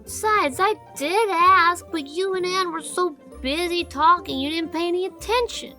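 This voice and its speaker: A very high, bright little boy's voice, cheeky and argumentative. He speaks in folksy childish grammar and keeps insisting on his point, as in an audio storybook drama, with music underneath. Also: moderate pace. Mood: whiny protest. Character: cheeky, argumentative seven-year-old boy.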